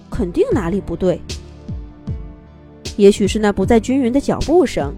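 A woman's voice: mid-range voice, warm tone. A woman narrating a children's fairy tale expressively from a script, as a storyteller.

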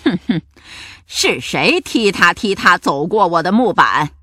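A woman's voice: medium pitch, very animated, slow pace, bright, forceful tone, no room sound, studio quality, heavily acted. A woman playing a bossy, mocking male pirate captain in a children's story, speaking in a commanding, emphatic way.

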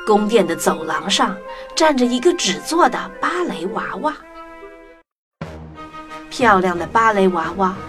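A woman narrating a scripted children's story in an expressive storytelling manner. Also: medium pitch, unhurried, pauses often, music in the background.

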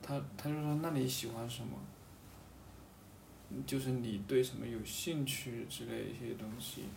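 Halting gravelly man, distant and echoey. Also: medium pitch, fairly even intonation, darkish tone. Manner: hesitant, halting, repeats himself, clears throat. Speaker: podcast guest, painter. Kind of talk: reflective casual chat. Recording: distant mic, echoey room, faint background noise.

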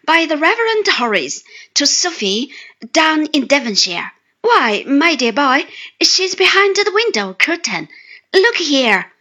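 A woman voicing a cheerful, excitable, good-natured young man who gushes with delight, in an audiobook reading. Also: high voice, unhurried, pitched above the performer's own voice, full character voice. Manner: gushing, effusive exclamations.

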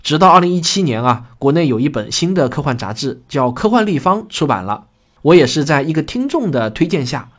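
A man speaking as author and presenter, introducing a radio drama to listeners in a conversational way, pausing on trailing particles. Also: medium pitch.

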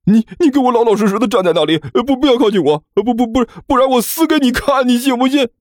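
A man voicing an exasperated, hot-tempered young male student in an audiobook, stammering and whining in shrill outbursts. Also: quick pace, dry recording, studio quality. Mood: panicked, indignant. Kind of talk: audiobook character acting.

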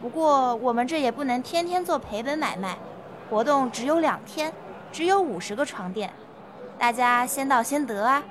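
A woman acts as a smooth-talking saleswoman in a radio drama, her voice notably high. She coaxes and pushes like someone working a room of customers. Clear noise sits behind her. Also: lively intonation, normal speed. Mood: persuasive. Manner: ingratiating, persuasive sales patter. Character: smooth-talking, pushy female health-product saleswoman pitching magnetic mattresses. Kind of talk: amateur legal-education radio play.

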